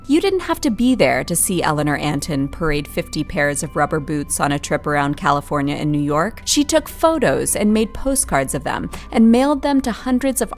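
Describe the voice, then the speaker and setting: A woman narrating a documentary script in a clear, explanatory voice. Her pitch moves a lot, and soft music plays under her. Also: very animated, normal speed, few pauses.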